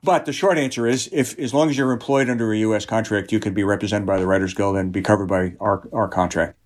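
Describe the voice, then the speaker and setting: A man giving explanatory interview answers as a union representative, in a blunt, deliberate manner. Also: on the low side, steady tone.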